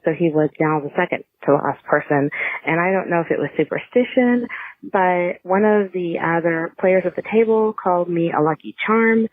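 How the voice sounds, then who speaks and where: A woman calling in as a listener, telling a casual story on a lo-fi phone voicemail. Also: fairly low pitch.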